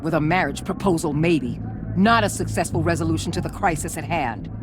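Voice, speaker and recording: An actress voicing a stern, skeptical American agency boss with an authoritative, sardonic manner in a video game. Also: female voice, deep voice, a little fast, background noise, lightly acted. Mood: commanding. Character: stern, commanding middle-aged female agency boss, cold and skeptical.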